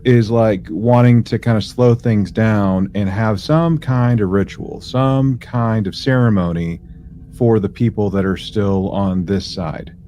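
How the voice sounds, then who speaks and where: A man co-hosting a podcast, giving a casual recap in a halting way, piecing his phrases together. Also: on the low side, warm tone, slight background noise. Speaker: podcast co-host.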